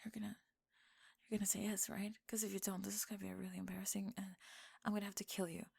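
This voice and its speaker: A woman playing a young tomboy friend in an intimate ASMR roleplay, halting and flustered, teasing gruffly and often slipping into whispers. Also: medium pitch, flat delivery, a little mellow. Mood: shy, nervous.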